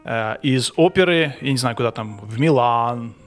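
A man's voice: mid-range voice, normal speed, soft background music. A man hosting a casual podcast interview, asking questions and teasing his co-host.

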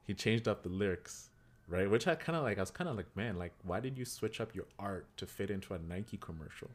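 Man, very low voice, podcast co-host, casual chat, animated, filler-heavy. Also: a little fast. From Kenyan heritage. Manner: animated, repeats himself, heavy use of "like".

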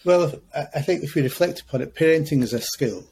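A man in his fifties, a longtime educator, speaking as a podcast guest in a measured, reflective way with frequent hesitations. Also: a little high, darkish tone, slight background noise. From Scotland. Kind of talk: conversational podcast interview.

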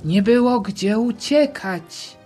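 Woman doing old male doctor in a radio play, sombre witness account, measured and shaken, music under it. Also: fairly high pitch, lively intonation. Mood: grave, urgent. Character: elderly male hospital doctor recalling the bombing, dutiful, shaken. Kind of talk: acted radio interview in an audio drama.

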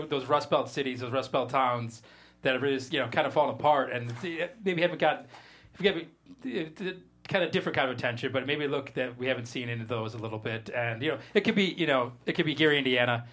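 A man speaking casually as a screenwriter guest, halting and repeating phrases as he explains. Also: mid-range voice, a bit bright. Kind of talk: casual interview talk.

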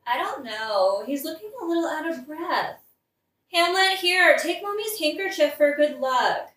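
A woman's voice: high-pitched, lively intonation, a little slow, a bit bright. A woman playing a doting, willful queen mother in a modern comic audioplay, cooing and indulgent. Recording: echoing room, distant from mic.

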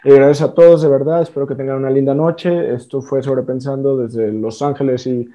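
Mellow-voiced podcast host. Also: male voice, medium pitch, flat delivery, fairly quick. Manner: friendly, hesitant, many fillers. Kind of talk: casual podcast interview. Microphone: remote online call.

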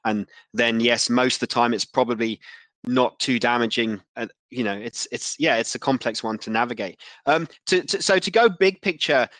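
A man with a medium-pitched voice hosts a casual interview. He talks haltingly, doubling back on words as he works through a thought. The recording is very dry. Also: fairly bright tone, no echo. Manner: halting, often repeats words. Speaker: interviewer, podcast host.

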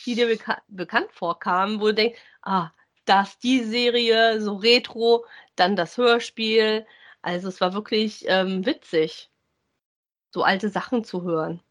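A woman, co-hosting a relaxed, conversational review of audio dramas. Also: medium pitch, a little slow. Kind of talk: casual review chat.

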